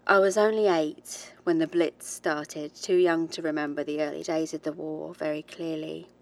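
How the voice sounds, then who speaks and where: A woman's voice: sits low, fairly even intonation, normal speed, vocal fry, low-quality recording. A woman playing a haunted, determined researcher who gives a reflective, hesitant confession in a horror audio drama diary. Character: haunted, determined woman researcher, British-born, recalling childhood ghosts.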